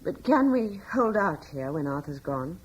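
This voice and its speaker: An actress playing Serena, a gentle middle-aged noblewoman and mother in a radio drama, speaking softly and earnestly. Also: lowish voice, very animated, a little slow, breathy edge, muffled recording, exaggerated voice. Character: gentle older noblewoman, mother, anxious but steady. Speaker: Serena, lady of a British estate.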